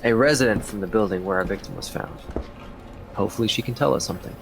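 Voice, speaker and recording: An actor playing a deferential police detective in audio drama dialogue. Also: male voice, lowish voice, fast, creaky. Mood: businesslike. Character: male police detective, deferential to his chief.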